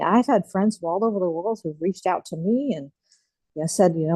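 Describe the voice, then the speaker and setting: A woman leads an online group share from home, talking casually and filling pauses with ums and you-knows. Her voice sits low and mellow. It comes through a video call and sounds dry. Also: no echo, rough recording. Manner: warm, chatty, frequent fillers. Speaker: group host.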